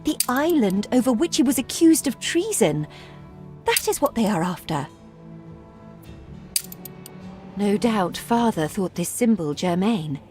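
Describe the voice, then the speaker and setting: A woman's voice: mid-range voice, very animated, moderate pace, darkish tone, close to an everyday voice. Woman acting a smart determined game heroine, mid voice, thoughtful, faint music. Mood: realizing. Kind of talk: dramatic video game dialogue.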